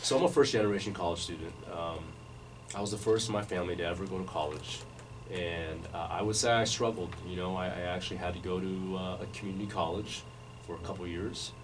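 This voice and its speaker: A low-pitched man speaks in an even, unhurried way, circling back and restarting his sentences as he recounts his past. He sits in a radio studio, but his voice arrives from some distance off the mic, with a steady hiss of noise behind it. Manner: measured, many restarts and fillers. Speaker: interview guest. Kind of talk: relaxed life-story interview. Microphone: studio microphone, recorded from afar.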